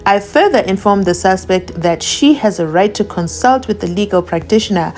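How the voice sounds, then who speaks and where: A woman with a South African accent, a channel host reading a document aloud slowly and breaking off for chatty asides. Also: mid-range voice, expressive, a little mellow. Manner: reads slowly and deliberately, adding chatty asides.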